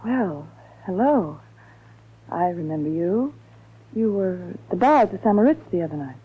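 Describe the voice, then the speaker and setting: A woman's voice: on the low side, expressive, moderate pace, slightly rough. An actress playing a cool, hard young woman, a mocking blackmailer with a taunting, self-assured manner in 1940s radio style. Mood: breezy. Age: young adult. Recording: muffled vintage radio broadcast.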